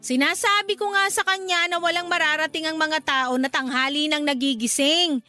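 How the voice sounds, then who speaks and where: A naggy strict filipino mom, super high voice, scolding, radio drama w/ faint music. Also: female voice, steady tone, moderate pace, bright, forceful tone. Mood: preachy. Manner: scolding, exasperated, sermonizing. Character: stern nagging Filipino mother, hardworking market vendor. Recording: music bed behind the voice.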